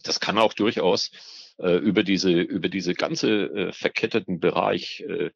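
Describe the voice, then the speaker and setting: A man's voice: low voice, measured pace, vocal fry, no echo, lo-fi sound. A man speaking as an expert guest in an interview, explaining hesitantly and often repeating words as he searches for the next. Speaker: expert guest, biologist on ornamental fish trade. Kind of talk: spoken interview answers, explanatory.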